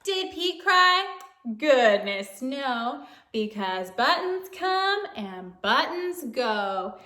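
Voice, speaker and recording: Female children's read-aloud narrator, very unhurried, playful and exaggerated, sing-song delivery, recorded in an echoey room. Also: sits high. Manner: animated, playful, exaggerated exclamations and questions to young listeners. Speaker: storyteller reading a picture book to children.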